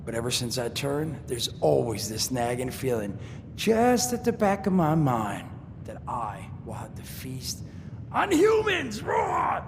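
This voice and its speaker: An actor playing a wisecracking male ghoul trader in a video game, talking in a joking, streetwise drawl with a gravelly voice. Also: mid-range voice, huge pitch swings, unhurried. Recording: game audio with long reverb and noise.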